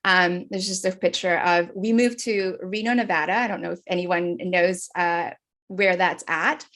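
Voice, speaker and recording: A woman's voice: on the low side, steady tone, moderate pace, fairly bright tone. A woman from the Philippines giving an earnest personal talk over a video call, with frequent fillers and restarts. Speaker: speaker.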